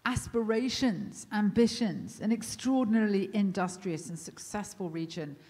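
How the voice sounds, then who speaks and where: A woman delivering panel remarks with earnest emphasis, pressing home each point. Her voice sits at a middling pitch. It carries the long reverb of a large conference hall. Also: darkish tone. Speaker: panel speaker.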